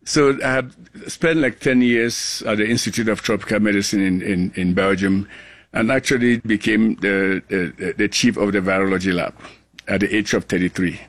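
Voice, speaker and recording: A man's voice: on the low side, steady tone, no room sound. A man in his sixties from Cameroon, a global health scientist, speaking earnestly in an interview with many restarts. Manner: earnest, halting with frequent restarts. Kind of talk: conversational interview.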